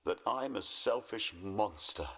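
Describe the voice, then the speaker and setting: A man's voice: on the low side, animated, unhurried, bright, forceful tone, heavily acted. A man acting a coaxing, defensive painter, husband and father, in a radio play. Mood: questioning, defensive.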